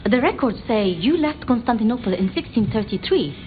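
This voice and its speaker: A woman voicing a timid, bookish, deferential vampire scholar in video game dialogue, speaking haltingly and apologetically. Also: on the high side, expressive, phone-quality sound, lightly acted. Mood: hesitant curiosity. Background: background music.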